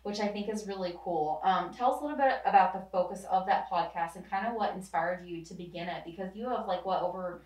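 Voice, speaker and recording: A woman's voice: on the low side, fast, few pauses, darkish tone. A woman hosting a podcast interview in an upbeat, friendly way. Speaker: podcast host. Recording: roomy echo, distant mic, faint music.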